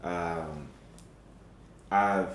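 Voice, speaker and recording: A man in a casual group conversation, speaking in a measured way, with frequent ums and a motivational tone. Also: sits low, fairly even intonation. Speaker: podcast guest. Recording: echoey room with faint noise.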